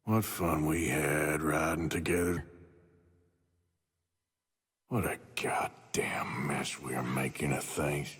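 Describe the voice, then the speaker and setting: An actor playing a gruff, regretful outlaw gunman with a low husky voice in a video game drama. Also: takes it slowly, long pauses, fairly bright tone, big-room sound, full character voice. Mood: brooding, regretful.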